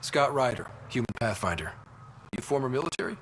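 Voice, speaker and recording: Direct young explorer leader. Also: male voice, mid-range voice, animated, a little fast, a bit bright, slight background noise, poor audio. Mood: probing. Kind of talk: video game dialogue acting.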